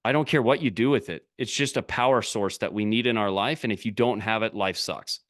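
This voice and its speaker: A man's voice: on the low side, fairly quick, a bit bright. A middle-aged man coaching a group over a video call, talking bluntly in short, punchy, repeated sentences. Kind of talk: motivational talk on a live video call.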